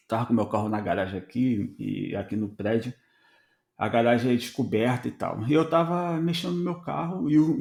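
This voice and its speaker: A Brazilian man hosting a podcast, telling stories in a casual, animated way and acting out bits of dialogue. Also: medium pitch, gravelly. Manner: conversational, animated storytelling with voiced dialogue. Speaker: podcast host.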